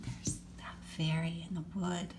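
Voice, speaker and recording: A woman's voice: on the low side, flat delivery, a little slow, background noise. An older woman, a grandmother, reading a storybook expressively to children with chatty asides. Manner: child-directed, dramatic emphasis, gasps and hushed asides.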